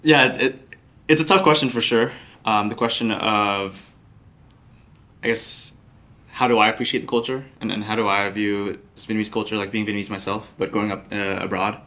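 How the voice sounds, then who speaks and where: A man's voice: fairly low pitch, steady tone, moderate pace, pushed and bright. A young man from the United States, a podcast guest, answering thoughtfully with many fillers and restarts. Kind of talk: podcast interview.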